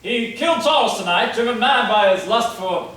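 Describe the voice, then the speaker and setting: A woman's voice: on the high side, expressive, moderate pace, fairly bright tone. A woman playing a grave, urgent police inspector, dramatic and commanding, in a staged reading of a period murder mystery. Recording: very echoey, far from mic.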